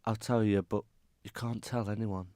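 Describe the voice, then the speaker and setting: A man's voice: fairly low pitch, steady tone, moderate pace, mellow, dry recording, close to an everyday voice. A man playing a guarded young circus singer with a secret, speaking earnestly in an amateur radio drama. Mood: confiding, sad.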